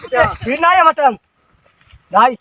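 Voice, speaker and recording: A man with a Sylheti accent playing an aggrieved, whining villager in an amateur comedy skit, plaintive and excitable. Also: extremely high pitch, normal speed. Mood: protesting. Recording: distant outdoor mic, faint noise.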